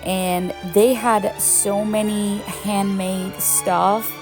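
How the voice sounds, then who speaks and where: Young woman travel vlogger from Venezuela, chatty and enthusiastic, slow talker with some vocal fry. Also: mid-range voice, little pitch movement, music in the background. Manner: chatty, enthusiastic, laughs often. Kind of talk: casual travel vlog voice-over.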